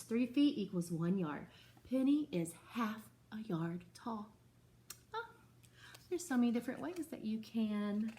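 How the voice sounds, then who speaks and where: Expressive woman teacher reading aloud. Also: mid-range voice. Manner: expressive, teacherly, explains patiently to children. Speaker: second-grade teacher. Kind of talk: reading a picture book aloud to a class, with explanations.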